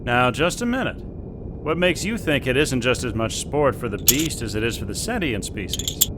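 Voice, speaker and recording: Man playing an easygoing, animated mercenary hunter. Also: normal speed, lightly acted. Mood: assured, argumentative. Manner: casual, self-assured. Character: easygoing, confident male mercenary big-game hunter. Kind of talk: audio drama acting. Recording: steady engine rumble behind the voice.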